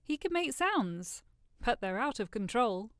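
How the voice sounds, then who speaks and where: A woman's voice: fairly high pitch, sing-song, a little slow, a bit bright, no echo, clean studio sound. A woman playing a witty, mocking noblewoman in audio drama dialogue. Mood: amused.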